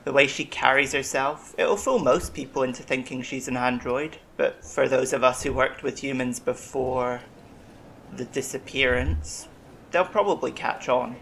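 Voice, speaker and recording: Casting: eccentric alien medic, sci-fi audio drama, gravelly edge, reflective and worldly, faint ambient noise behind. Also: mid-range voice, steady tone, normal speed, fairly bright tone, poor audio. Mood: intrigued. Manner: reflective, wryly self-deprecating.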